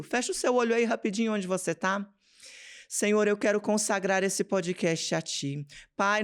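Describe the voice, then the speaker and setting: A woman from Minas Gerais, Brazil, hosts a devotional podcast from her home studio. Her voice is smooth and resonant. She talks to her listeners as if they were close friends, and she leads them in prayer. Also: medium pitch. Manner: chatty, addresses listeners directly as girls and friends.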